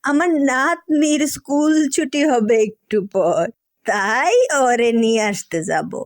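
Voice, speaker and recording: A woman's voice: a little high, slow, dry recording, studio quality. A woman voicing a folksy elderly farm grandmother in a cartoon, with a Bangladeshi accent and colloquial rural speech. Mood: matter-of-fact. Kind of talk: cartoon dialogue.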